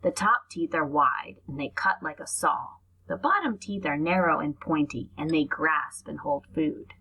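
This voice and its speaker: A woman's voice: deep voice, expressive, moderate pace, bright, forceful tone, husky, poor audio. A woman reading aloud to young children like a teacher, explaining things and adding asides as she goes.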